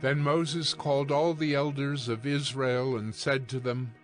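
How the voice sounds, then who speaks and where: A man narrating scripture from a script in a solemn, measured way. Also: medium pitch, level tone, soft background music.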